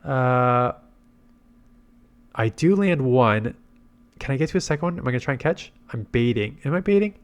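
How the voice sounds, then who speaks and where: A man's voice: mid-range voice, lively intonation, moderate pace, long pauses, clean tone. A man congested with a head cold gives casual gameplay commentary, thinking aloud through his tactics. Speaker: game commentator and video host.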